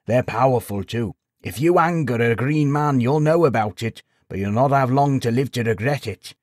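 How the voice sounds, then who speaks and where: A man voicing a kindly old village sage in a storybook, gently chiding and wry, explaining patiently in folksy rhetorical questions. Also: medium pitch, normal speed, dry recording, clean studio sound, voice pushed up, lightly acted. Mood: grave, admiring. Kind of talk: storybook character voice.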